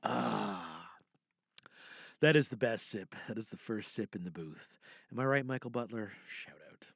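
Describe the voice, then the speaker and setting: A man's voice: fairly low pitch, animated, unhurried, creaky, a bit bright, slightly rough, dry recording, studio quality. A man hosting a podcast in a chatty, jokey, theatrical showman manner. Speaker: podcast host. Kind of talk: chatty show introduction.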